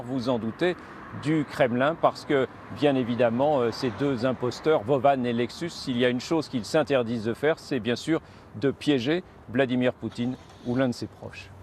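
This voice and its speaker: A male foreign correspondent reports live in a conversational way. His voice is mid-pitched, and a faint bed of outdoor city noise and traffic sits behind him. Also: a little mellow.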